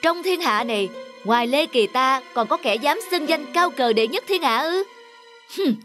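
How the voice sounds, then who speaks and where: An actor playing a proud, hot-tempered middle-aged court official in an animated folk tale, boastful and scornful. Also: male voice, extremely high pitch, moderate pace, exaggerated voice. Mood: indignant. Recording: music under the dialogue.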